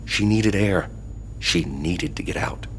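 A man's voice: low-pitched, takes it slowly, slight background noise. A man giving somber scripted narration as the storyteller of a horror audio drama. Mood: somber, measured. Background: music.

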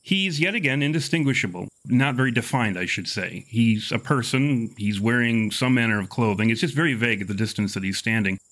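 A man improvising narration as game master of a tabletop horror game, with measured, wordy, formal phrasing. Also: medium pitch, expressive, fairly bright tone, low-quality recording.

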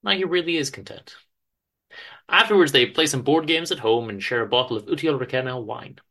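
A man giving a dramatic, expressive reading of fiction, with a theatrical storytelling manner. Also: medium pitch, brisk. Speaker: author and reader of a story.

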